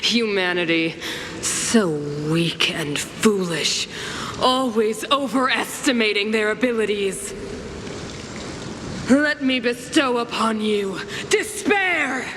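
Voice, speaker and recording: Cold imperious villain queen from a game, highish voice, slow and grand, loud noise behind. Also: expressive, big-room sound. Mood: grandiose, menacing. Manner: imperious, measured, theatrical. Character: regal, cold female supreme ruler, grandiose villain. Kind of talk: video game cutscene acting.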